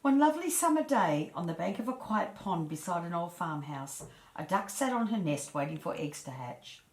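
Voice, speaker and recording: Female storyteller, low register, measured and expressive children's read-aloud, roomy echo on the recording. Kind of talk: reading a children's fairy tale aloud. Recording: echoey room.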